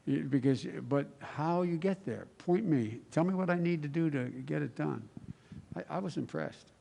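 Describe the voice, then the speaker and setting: A man's voice: on the high side, expressive, normal speed, vocal fry, mellow, a little hiss or hum. A man speaking as a candidate at a town-hall roundtable, answering off the cuff in a rambling way and restarting sentences mid-thought.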